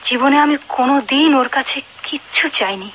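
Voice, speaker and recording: A neglected but devoted young wife in a radio play. She has a high, bright voice and implores with deep emotion, repeating her phrases. Faint noise sits behind the recording. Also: a little fast, highs cut off. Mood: yearning, hopeful. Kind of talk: Bengali radio drama acting. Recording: noisy old radio drama recording.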